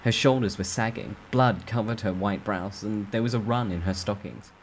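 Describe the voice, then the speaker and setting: A man's voice: fairly low pitch, normal speed, slight background noise, poor audio. A man reading a first-person story aloud as a dramatic storytime narration.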